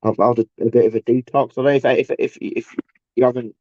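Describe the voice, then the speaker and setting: A man with a croaky, tired voice and a sore throat from a cold, rambling casually and haltingly as a solo video host, repeating himself and swearing in exasperation. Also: mid-range voice, flat delivery, dark tone, dry recording. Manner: halting, repetitive, searching for words. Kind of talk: casual rambling monologue to camera. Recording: dull, lo-fi sound.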